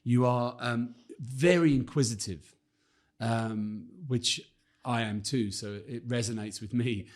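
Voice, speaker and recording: A man's voice: mid-range voice, steady tone, slow. A man hosting a podcast, asking a long, rambling question and affirming his guest. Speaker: podcast host. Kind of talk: interview question.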